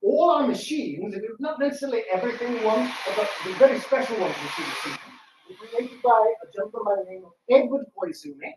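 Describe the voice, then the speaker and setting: A man's voice: very high voice, animated, quick pace. A man talking informally to an audience, with emphatic, theatrical phrasing. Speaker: radio theatre co-founder and scriptwriter. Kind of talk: informal live talk to an audience. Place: public library room. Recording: roomy, distant mic with some noise.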